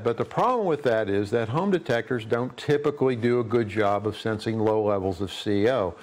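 A man in his sixties presenting a scripted product review in a workshop, brisk and plain-spoken with dry humor, on a lapel mic. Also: medium pitch, darkish tone. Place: indoor workshop studio.

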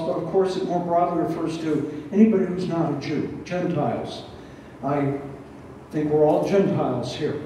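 A man in his sixties preaching an expository Bible study, reading and explaining scripture in a deliberate, teacherly way with rhetorical questions. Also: high voice, dark tone. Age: 60s. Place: barn hall with a stage, indoors. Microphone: headset. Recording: roomy, echoing, distant sound with faint noise.

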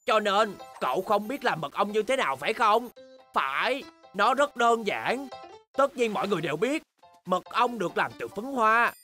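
A woman voicing an excitable, boastful young bear cub in a children's cartoon, with exaggerated, childlike delivery. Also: medium pitch, normal speed, soft background music. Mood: boastful, scheming. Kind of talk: animated children's cartoon dubbing.

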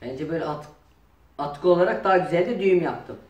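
A man's voice: on the high side, quick pace, muffled recording, background noise. A young man, grumbling through improvised comic banter in a home dining room. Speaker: performer in a children's comedy sketch. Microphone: camera a few metres away. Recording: echoey room.

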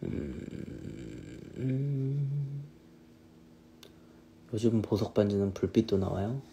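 A man in his thirties chatting casually on a phone live stream, answering viewers' questions in a relaxed, easygoing way. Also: on the low side, drawn-out words, creaky, slight background noise. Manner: relaxed, answers viewers' questions, drifts between snacks and hobbies. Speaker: singer hosting a live stream. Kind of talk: casual live-stream chat reading comments.

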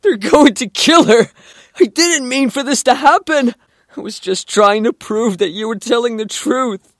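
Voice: medium pitch, measured pace, no echo, clean studio sound, close to an everyday voice. An actor playing an earnest, kind and protective young man in an audio drama, speaking with emphasis. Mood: earnest, worried.

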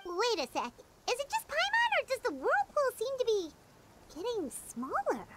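A woman voicing a tiny, childlike, loyal fairy companion in a video game, squeaky and excitable. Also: moderate pace, heavy background noise, exaggerated voice. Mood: curious. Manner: speaks of self in third person. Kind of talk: animated video game acting.

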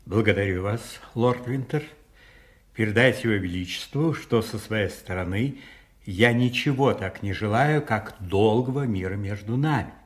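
A man's voice: on the low side, normal speed, lightly acted. An actor playing a composed, courteous cardinal and statesman in a radio play, formal and authoritative. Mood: composed, commanding.

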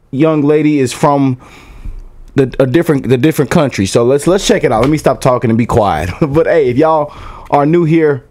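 A man's voice: a little high, darkish tone. A young American man hosting a reaction video on a headset mic, chatty and loose, often saying "you know what I'm saying". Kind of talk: casual reaction commentary.

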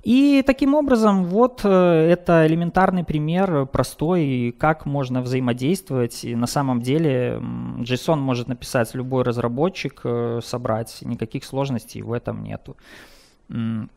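A man's voice: mid-range voice, normal speed, gravelly, darkish tone. A man in his thirties giving a technical talk at a developer meetup and answering questions, with many filler sounds. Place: dim meeting room with a projector.

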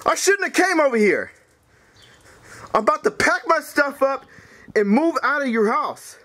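A man voicing an angry, hot-tempered creature in a comedy skit, ranting and shouting complaints. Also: medium pitch, sing-song, takes it slowly, husky, pitched below the performer's own voice, full character voice. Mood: fed up.

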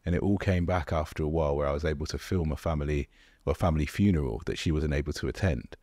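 A man in his thirties, a co-host on a podcast, sharing a personal story in a relaxed way. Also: a bass voice, fast talker, no room sound, studio quality. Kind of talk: personal anecdote in conversation. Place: bright studio room. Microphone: boom-mounted podcast mic.

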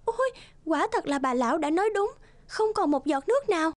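A woman's voice: very high-pitched, very animated, brisk, a little hiss or hum. A woman voicing a sweet, meek, kind-hearted young girl in a cartoon, polite and plaintive. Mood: amazed, grateful.

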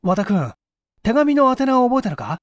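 A hot-headed, quick-reasoning sleuth in a mystery audiobook, played by a man. His voice is high and very lively, pushing each deduction forcefully. The sound is dry and close. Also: dry recording. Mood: brisk, commanding. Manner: forceful, emphatic, quick to conclude. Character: Asakichi, hot-headed, sharp-reasoning man playing detective.

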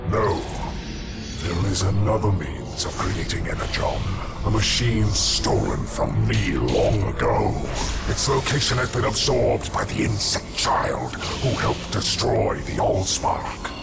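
Creepy old robot master, slow gravelly voice that swoops around, video game villain. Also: male voice, on the low side, expressive, full character voice. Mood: ominous. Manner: slow, ominous, imperious. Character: ancient, patient, sinister robot master of villains. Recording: loud music over the voice.

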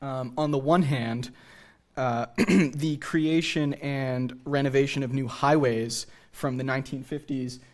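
A young American man delivers a public lecture from a theater stage. His voice is mid-pitched, and he mixes prepared points with casual asides into a podium microphone. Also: fairly even intonation, unhurried, darkish tone. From New England, USA. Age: young adult.

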